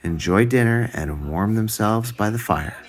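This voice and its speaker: A man reading a children's story aloud in a deliberate, expressive way. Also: fairly low pitch. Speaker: storybook reader.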